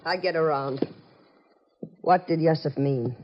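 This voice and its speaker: An actress playing a proud, defiant woman pilot under suspicion in old radio drama, crisp and direct. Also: low voice, unhurried. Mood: guarded. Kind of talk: golden age radio drama acting. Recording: noisy muffled old radio recording, long reverb.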